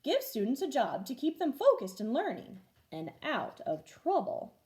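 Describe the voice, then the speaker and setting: A woman with a fairly high voice reads a picture story to young children. Her delivery is expressive and very animated, with the clear phrasing of a primary teacher. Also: moderate pace. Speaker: first grade teacher reading stories aloud. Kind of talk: children's storybook read-aloud.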